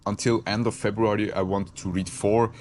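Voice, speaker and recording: A man's voice: on the low side, steady tone, normal speed, a little mellow, slight background noise. A man from Switzerland, a native Swiss German speaker with a Swiss German accent, talking reflectively to camera, hesitant and searching for words with frequent restarts. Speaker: content creator. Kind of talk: reflective casual talk to camera.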